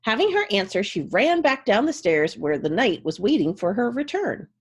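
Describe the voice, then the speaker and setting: A woman's voice: mid-range voice, normal speed, breathy edge. A woman giving a dramatic, theatrical storybook reading as narrator. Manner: theatrical, expressive.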